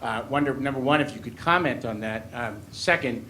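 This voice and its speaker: Male lawyer at a public forum, mid-pitched, halting and formal, faint room noise. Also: sharp tone. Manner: halting, deliberate, legalistic. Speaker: audience questioner, lawyer. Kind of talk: formal question from the floor.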